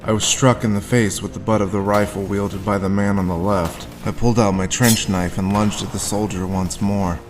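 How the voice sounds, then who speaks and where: An actor playing a grim, haunted war veteran, telling his story deliberately in a noir audio drama. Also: fairly low pitch, little pitch movement, soft background music, close to an everyday voice. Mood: grim, tense. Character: haunted hard-boiled male war veteran recounting his grim past.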